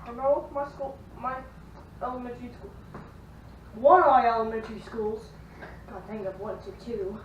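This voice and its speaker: A young woman chats casually in a fairly high voice. The room is echoey and the sound a little dull, as in a homemade vlog. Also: steady tone, normal speed, pauses often. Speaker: vlog host. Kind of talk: casual chat. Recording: echoey room, distant muffled mic, faint noise.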